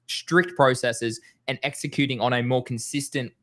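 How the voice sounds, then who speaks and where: A man in a casual podcast chat, explaining trading discipline in a brisk, coaching way. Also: mid-range voice, steady tone, a bit bright.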